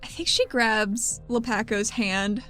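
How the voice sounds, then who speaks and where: Higher-voiced woman playing a tabletop game. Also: steady tone, takes it slowly, a bit bright. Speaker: player. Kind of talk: casual tabletop roleplay chat and narration. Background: music.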